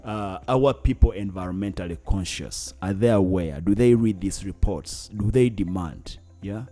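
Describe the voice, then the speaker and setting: A man's voice: low-pitched, normal speed, mellow. A man from northern Uganda with a Ugandan English accent, speaking earnestly as a guest in an interview, often searching for words. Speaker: guest, climate activist. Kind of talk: interview conversation.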